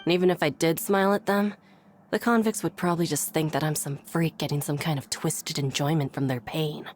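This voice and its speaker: Jaded prison clerk, played by a woman: lowish voice, dry and sarcastic, music behind. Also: fairly even intonation, brisk, darkish tone, close to an everyday voice. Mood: wry. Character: weary, sardonic female prison clerk. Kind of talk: video game voice acting.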